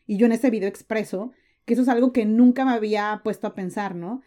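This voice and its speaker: A Mexican woman in her thirties talks freely as a podcast guest, her voice mellow and warm. She keeps the talk going with plenty of fillers and quick restarts, at a medium pitch. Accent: Mexican Spanish. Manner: chatty, frequent fillers and restarts. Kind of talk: casual interview chat.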